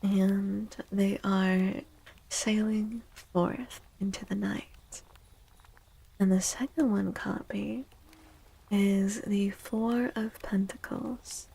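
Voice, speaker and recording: A woman speaks very softly in an ASMR tarot-reader style, gentle and unhurried. Her voice is breathy and mellow, with a light creak at the edges, and it often slips into a whisper. She sounds reassuring throughout. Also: medium pitch, rough recording. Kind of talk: soft-spoken ASMR tarot reading.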